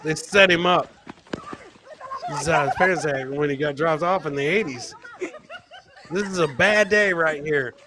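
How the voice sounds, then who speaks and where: A man's voice: on the high side, moderate pace, long pauses, a crowd in the background. An American man in his forties doing casual, exclamatory live-stream commentary as a comedy host, often repeating his catchphrases. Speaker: comedian hosting a live clip show. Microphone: close boom studio mic with headset.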